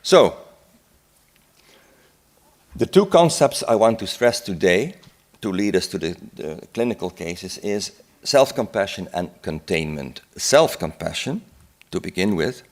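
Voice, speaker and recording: Deep-voiced older lecturer. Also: male voice, big pitch swings. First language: Dutch. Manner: reflective, unhurried, with filler "eh?" asides and restarts. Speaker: psychiatrist giving a conference talk. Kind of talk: lecture at a symposium. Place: conference hall.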